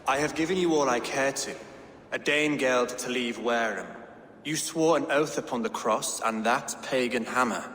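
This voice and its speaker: An actor playing a cold, haughty Saxon king in a video game, speaking in a formal, measured, condescending way. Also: medium pitch, a bit bright, close to an everyday voice. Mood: cool authority. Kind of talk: dramatic video game acting. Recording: echoey hall, faint noise.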